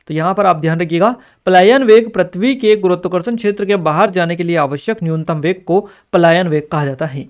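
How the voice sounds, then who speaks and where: A man giving an instructive exam-review lesson, reading notes aloud and explaining them as a coaching teacher. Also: high voice. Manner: instructive, repeats key points.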